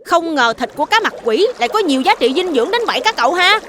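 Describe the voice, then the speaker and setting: A skeptical little boy in a kids' cartoon. The voice is squeaky high and bright, and the delivery is rapid, chatty and childlike. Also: sing-song, a little hiss or hum, exaggerated voice. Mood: curious, impressed. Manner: childlike, quick, doubting.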